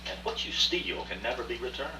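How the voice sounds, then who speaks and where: A man playing a genteel, wealthy aging art dealer in a radio play, speaking formally and deliberately, by turns reflective and defensive. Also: mid-range voice, sing-song, lightly acted. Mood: defensive, insistent. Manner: formal, courtly, deliberate storytelling. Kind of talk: radio play acting, rehearsed dialogue. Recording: distant, echoey room with faint noise and hum.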